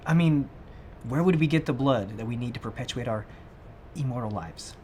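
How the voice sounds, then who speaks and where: A man's voice: medium pitch, moderate pace, dark tone, a little hiss or hum, close to an everyday voice. A man playing an anxious, sensible, conventional fiancé in a comedic short film, earnest and reasoning as he protests. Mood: incredulous.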